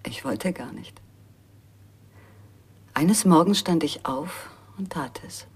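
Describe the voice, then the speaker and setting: An actress playing a guarded, cool, melancholy femme fatale in a noir radio drama, hushed and terse, with a breathy voice. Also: female voice, low-pitched, lively intonation, slow pace, exaggerated voice.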